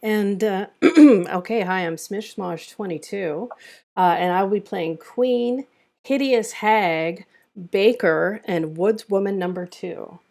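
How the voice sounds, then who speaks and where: A woman's voice: medium pitch, slow pace, gravelly, darkish tone. A woman casually hosting and organizing an online table read over a group voice chat.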